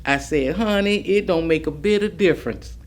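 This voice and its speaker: A woman's voice: fairly low pitch, normal speed, lightly acted. A woman performing a staged monologue as a plucky, persuasive older woman, telling her story in a folksy, emphatic way. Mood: wry, spirited. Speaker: stage actress performing oral history. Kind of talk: dramatic monologue, staged reading.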